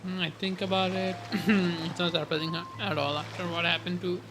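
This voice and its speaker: A man's voice: high voice, steady tone, a little fast, background noise. A man giving casual gameplay commentary, reacting in a musing, hesitant way. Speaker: game streamer. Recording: game music behind voice. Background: game music.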